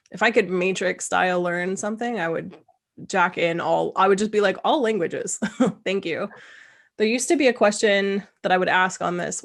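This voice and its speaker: A woman's voice: on the low side, creaky. Chatty woman host, casual chat. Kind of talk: casual video-call chat.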